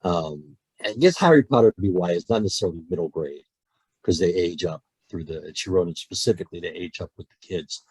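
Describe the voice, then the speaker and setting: A man's voice: deep voice, brisk, slightly rough, dry recording, rough recording. A man, a voice actor, chatting on a podcast over a call, talking with passion and often restarting his sentences. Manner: animated, many restarts, passionate about storytelling. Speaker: guest voice actor. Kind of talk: casual podcast conversation.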